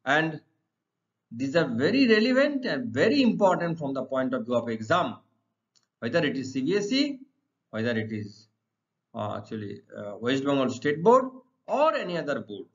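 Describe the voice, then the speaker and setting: A middle-aged man with an Indian accent, teaching English to students in deliberate, emphatic, repetitive phrases. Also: medium pitch, sing-song. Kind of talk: exam lesson explained to students. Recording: dull, lo-fi sound.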